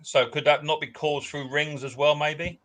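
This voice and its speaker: A man's voice: a little high, level tone, normal speed, fairly bright tone. A man hosting a casual livestream, chatting and teasing his viewers. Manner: chatty, teasing, banter-heavy. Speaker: livestream host.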